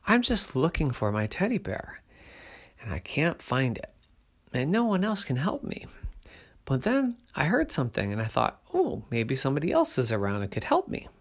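A man voicing a sweet, polite little girl bear cub in a bedtime story, with a high, excitable voice. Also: slightly rough, heavily acted. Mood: pleading.

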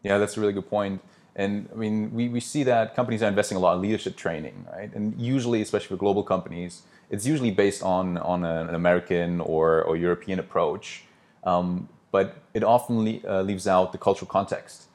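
A man hosting a business interview as a consultant, asking fluent, brisk questions. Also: deep voice, steady tone, darkish tone.

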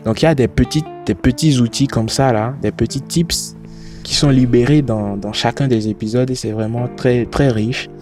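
A man's voice: mid-range voice, moderate pace, music in the background. A man talking casually about podcasts he likes, often restarting and repeating words, whose first language comes from the Yoruba family. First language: a language derived from Yoruba. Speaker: podcast reviewer. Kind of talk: casual recommendation monologue.

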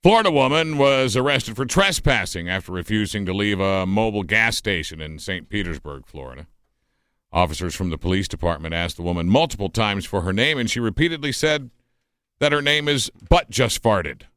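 A man's voice: lowish voice, lively intonation, fairly quick, a bit bright, smooth, no echo, clean studio sound. A man reading offbeat news items on a radio talk show.

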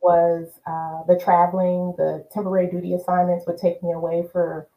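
A woman in her fifties, a podcast guest, telling her story in casual conversation with lively repetition for emphasis. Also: lowish voice, normal speed, reverberant, rough recording. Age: 50s. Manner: animated storytelling, repeats words for emphasis.

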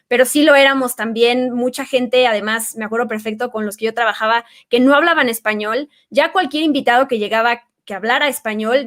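A woman with a Mexican accent chatting casually, telling stories about her work with frequent restarts. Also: fairly high pitch. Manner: warm storytelling with many restarts and fillers. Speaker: guest. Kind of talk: casual chat.

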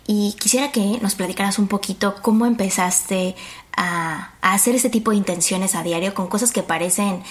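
A woman's voice: medium pitch, moderate pace. Chatty woman podcast host. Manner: chatty, playful, addresses listeners as friends. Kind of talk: casual podcast chat. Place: guest's home.

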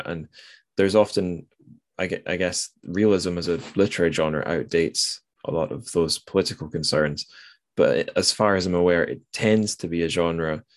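Very deep-voiced man, musing aloud. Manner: rambling and musing, thinks aloud in circling sentences. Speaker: podcast host. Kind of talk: casual literary discussion.

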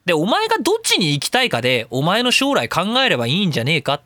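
A man hosting his own talk radio show, rambling in a casual, self-mocking way with rough speech. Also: a little high, huge pitch swings, racing, sharp tone, no room sound, clean studio sound. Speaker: radio show host and voice creator.

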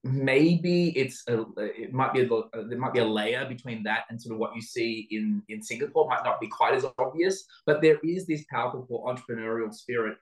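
A middle-aged Australian man answering interview questions in a halting, reflective, conversational way. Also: medium pitch. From Sydney, Australia. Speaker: interview guest, venture capital investor. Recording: roomy, echoing sound.

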